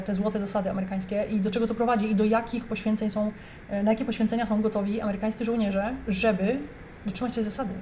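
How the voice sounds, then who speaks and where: Young woman, mellow-toned, fired-up commentator addressing her audience over light background hiss. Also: medium pitch. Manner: indignant, emphatic, addresses viewers directly. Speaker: commentator reacting to a video. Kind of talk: heated reaction commentary.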